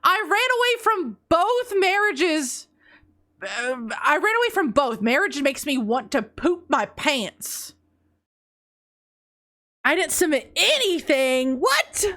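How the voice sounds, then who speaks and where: Woman streamer, high and very animated, unhurried delivery, playful and teasing, slightly congested. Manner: playful, teasing, laughs often, swings between high squeals and low mutters. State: congested, runny nose. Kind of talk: casual live-stream chat reading confessions.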